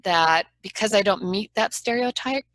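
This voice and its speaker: A woman's voice: medium pitch, moderate pace, a bit bright, no echo. A woman trial lawyer as a guest in an interview, talking in an earnest, frank and persuasive way. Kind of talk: conversational interview.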